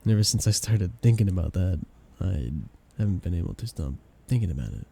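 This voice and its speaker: Romance ASMR roleplay: shy, tender best friend in love, played by a man, gravelly and lively in pitch, hesitant delivery. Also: fairly quick, heavily acted. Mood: tender, earnest.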